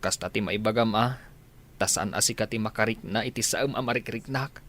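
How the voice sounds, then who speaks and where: A man's voice: lowish voice, a little fast, a bit bright, lightly acted. A man acting in a radio drama as a heartbroken village farmer who stubbornly pines for his love. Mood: heartbroken, plaintive. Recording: noisy recording with background ambience.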